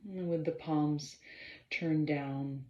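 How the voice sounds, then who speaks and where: A woman leading a guided meditation in a measured, halting way, pausing between short phrases and often clearing her throat. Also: sits low, fairly even intonation. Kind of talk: slow guided meditation instruction. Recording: roomy, slightly dull sound.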